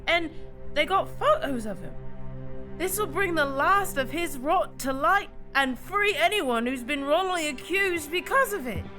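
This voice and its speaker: A woman plays a clever, plucky girl detective in an audio drama. Her voice is high and swings widely as she reasons things out with excitable determination. Faint music sits underneath. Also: unhurried, heavily acted. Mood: excited discovery.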